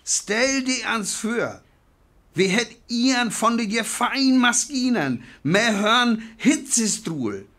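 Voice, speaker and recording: A man playing a zealous, grandiose survivor scheming to seize war machines in a radio play, with a North Frisian accent, swinging from hushed to exclaiming. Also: very high voice, lively intonation, slow, full character voice. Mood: fervent, grandiose.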